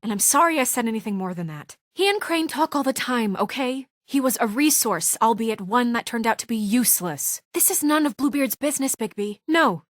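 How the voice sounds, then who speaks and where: A woman's voice: a little high, expressive, a little fast, few pauses, no echo, clean studio sound, close to an everyday voice. A young actress playing an earnest, determined young woman leader under strain in a naturalistic video game drama. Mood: irritated, defensive. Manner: earnest, hesitant in confidences, firm when challenged.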